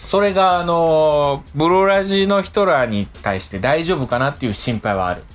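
A man hosting a casual podcast chat, explaining things in a hesitant, halting way with lots of softeners. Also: a little high, lively intonation, soft background music. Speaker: radio show host.

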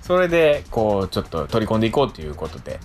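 A man hosting a radio show in casual, conversational chat, good-natured and quick to protest. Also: lowish voice, very animated, a little mellow, soft background music.

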